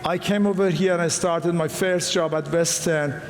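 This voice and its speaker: A middle-aged man from Iran with an Iranian accent, giving a personal talk to a live audience in an earnest, halting way with frequent restarts. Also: a little high, steady tone. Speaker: guest speaker. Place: large hall. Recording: echoey hall, faint room noise.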